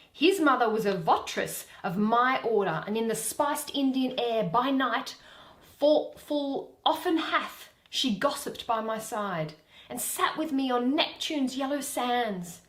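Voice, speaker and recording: A woman voicing a proud, indignant fairy queen in measured, declamatory Shakespearean verse. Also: a little high, a bit bright, reverberant. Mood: resolute, wistful.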